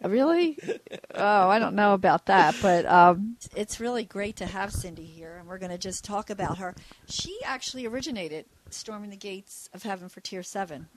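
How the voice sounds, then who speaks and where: Raspy-voiced woman radio host. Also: mid-range voice, animated, moderate pace, rough recording. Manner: warm, joking conversational hosting.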